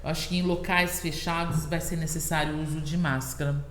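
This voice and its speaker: A woman's voice: deep voice, little pitch movement, a little fast. A Brazilian woman guest on a podcast, a health worker, talking earnestly and often checking in with "sabe?". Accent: Brazilian Portuguese. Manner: earnest, keeps asking "sabe?". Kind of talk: casual interview chat. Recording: echoey room with long reverb.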